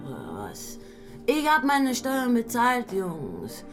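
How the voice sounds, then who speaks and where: Brief: a drunken, crude, coquettish woman, slow and slurred, radio-play style, music under. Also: fairly high pitch, wildly swooping pitch, full character voice. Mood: tipsy, flirty. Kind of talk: radio drama acting. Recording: music playing behind.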